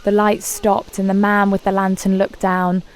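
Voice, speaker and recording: A woman's voice: medium pitch, monotone, normal speed, poor audio. A woman reading a children's story aloud as a scripted narration.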